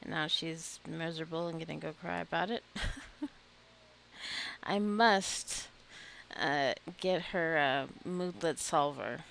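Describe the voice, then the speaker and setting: A woman talks her way slowly through a life-simulation game, chatting to her characters and laughing now and then. Her voice sits a little low, and a faint hiss runs under the recording. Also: creaky, fairly bright tone. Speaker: gaming video creator. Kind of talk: casual gameplay commentary.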